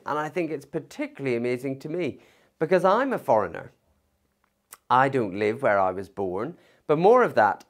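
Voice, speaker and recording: Lively-voiced man giving a sermon. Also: mid-range voice. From not from Liverpool. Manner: conversational, thoughtful, with pauses and fillers. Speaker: pastor. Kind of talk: Christmas sermon.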